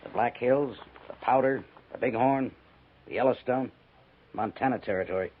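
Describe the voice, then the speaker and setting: An actor playing an earnest army major who gives orders in a 1950s radio western. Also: male voice, medium pitch, moderate pace, close to an everyday voice. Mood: businesslike. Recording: thin, phone-like, noisy 1950s radio recording.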